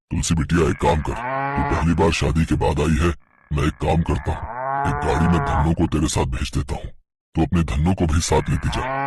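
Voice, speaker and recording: A man's voice: low-pitched, noisy background, pitched below the performer's own voice. A man voicing a meek, placating elderly village uncle in an audio folk story.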